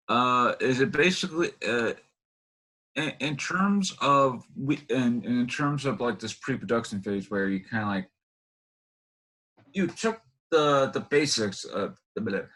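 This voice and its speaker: A man with a bright, forceful voice at middle pitch hosts a casual interview on a video call. He builds his questions haltingly, circling back over phrases before landing them. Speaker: interview host.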